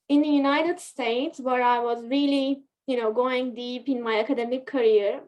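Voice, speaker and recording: A woman with a somewhat high voice talks through her story in an interview. She speaks thoughtfully, pausing and restarting often with small fillers. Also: low-quality recording. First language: Turkish. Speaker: guest, scientist training as psychotherapist. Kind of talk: reflective interview talk.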